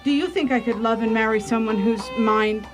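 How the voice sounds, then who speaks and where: A woman's voice: fairly high pitch, moderate pace, music in the background, lightly acted. A woman playing an anxious, devoted young wife in a radio play, genteel and pleading. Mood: soothing. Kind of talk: radio theatre acting.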